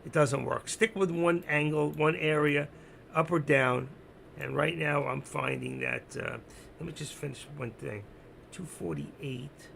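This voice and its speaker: A man with a gravelly, hoarse voice that is giving out, doing unscripted live trading commentary, thinking aloud, repeating himself and instructing his viewers. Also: on the high side, steady tone, a little slow, fairly bright tone, slight background noise. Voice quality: gravelly, creaky. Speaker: live stock-trading streamer.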